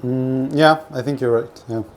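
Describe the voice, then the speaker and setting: A man's voice: mid-range voice, steady tone, darkish tone. Rambling, sweary man over kitchen noise. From Sweden. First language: not Swedish; grew up with several languages. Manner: rambling, sweary, halting with repeats. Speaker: livestreamer chatting with viewers. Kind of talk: casual livestream chat, personal storytelling. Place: home kitchen while cooking. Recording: clear kitchen noise, frying food. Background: frying food, kitchen ambience.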